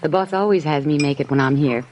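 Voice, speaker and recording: A woman's voice: deep voice, fast talker, darkish tone, lightly acted. A woman playing a friendly, modest young lunchroom waitress in a 1950s radio drama, making polite small talk. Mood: modest, chatty. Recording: noisy old radio recording with clicks.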